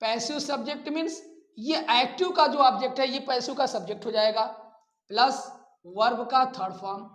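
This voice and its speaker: A man's voice: very high-pitched, normal speed, a bit bright. A man with an Indian accent teaching a grammar lesson, dictating phrase by phrase with repetition and rhetorical check questions. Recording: echoey room.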